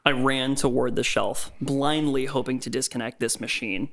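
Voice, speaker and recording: A man's voice: medium pitch, fairly even intonation, moderate pace, a bit bright, close to an everyday voice. An actor playing a frightened captive office worker, narrating his ordeal in the first person as an earnest, tense horror story. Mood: tense dread.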